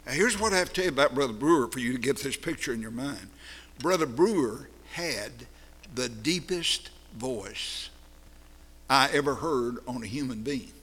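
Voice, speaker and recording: An older man with a deep, distinctive voice, reminiscing in a sermon with slow, deliberate phrasing. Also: animated, fairly bright tone, slightly rough, long reverb tail. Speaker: preacher. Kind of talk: sermon-style storytelling.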